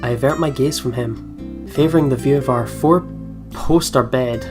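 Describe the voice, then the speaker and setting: A man hosts a let's play in a medium-pitched voice. He reads the story aloud expressively and drops in playful asides, with soft guitar game music in the background. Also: measured pace.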